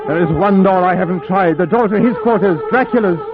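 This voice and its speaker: An actor playing a desperate, frightened young captive, intense and dramatic, in 1940s radio drama style. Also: male voice, sits high, brisk, over music. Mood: fearful. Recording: dull 1940s radio recording.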